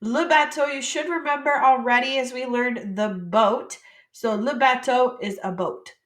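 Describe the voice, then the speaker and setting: A woman from the United States teaching French vocabulary, saying each word slowly and then explaining it in casual English. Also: on the high side, echoey room. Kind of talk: vocabulary lesson.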